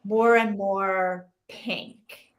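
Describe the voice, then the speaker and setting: A woman's voice: mid-range voice, steady tone, very slow, highs cut off. Woman co-host, chatty and casual, video-call sound. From dad Saudi, mom German. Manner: chatty, lots of "like" and "right?". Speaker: book club co-host. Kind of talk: casual livestream chat.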